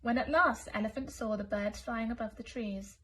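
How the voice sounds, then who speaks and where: A woman storyteller reading a children's picture book aloud in an expressive, deliberate way. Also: a little high, breathy edge. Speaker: school storyteller.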